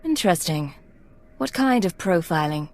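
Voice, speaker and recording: A woman's voice: on the low side, lively intonation, moderate pace. An actress playing a composed, direct female Imperial secret agent in a video game. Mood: cool, composed.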